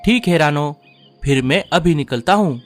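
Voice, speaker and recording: A caring sparrow husband reassures his wife in a slightly raised, gentle voice, voiced by a man. Soft music plays behind him. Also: a little high, expressive, moderate pace, natural voice.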